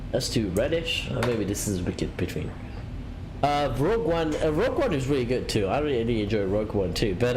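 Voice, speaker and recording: Casual streamer: man, rambling, mid-pitched voice, faint background noise. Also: rough recording. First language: Italian. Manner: rambling, many fillers and restarts, reads out chat. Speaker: flight simulator streamer. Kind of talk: casual live-stream chat.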